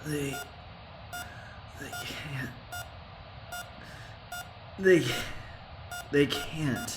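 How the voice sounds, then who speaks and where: An actress playing a treacherous captive doctor in an audio drama, halting, strained and sardonic. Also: female voice, low-pitched, heavy background noise. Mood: distressed. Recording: roomy, music underneath.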